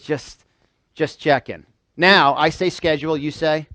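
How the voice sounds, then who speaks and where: A man's voice: a little high, fairly even intonation, a little slow, sharp tone, no room sound. A man from New York giving a motivational seminar, emphatic, repeating phrases and firing questions at his listeners. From New York, United States. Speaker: motivational speaker. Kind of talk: motivational seminar talk to a live audience. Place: live event room with a small audience.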